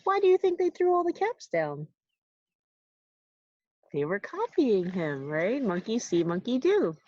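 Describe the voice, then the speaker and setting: A woman reads a children's story aloud expressively, pausing to put simple questions and asides to young listeners. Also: medium pitch, big pitch swings, darkish tone, no room sound, dull audio.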